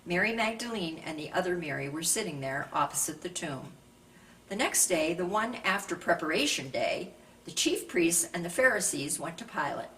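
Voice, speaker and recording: A woman with a slightly low-pitched voice reads a Bible narrative aloud. Her delivery is scripted and measured, in the manner of a scripture reader. She sounds somewhat distant from the microphone, with faint noise behind her. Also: lively intonation, fairly bright tone. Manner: measured, raises voice for quoted speech.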